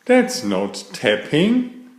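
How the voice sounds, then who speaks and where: Man as a lonely little squirrel, high-pitched, echoey. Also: wildly swooping pitch, drawn-out words, exaggerated voice. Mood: doubtful. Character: lonely, doubtful little squirrel wanting friends. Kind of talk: children's storybook character reading. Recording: echoey room.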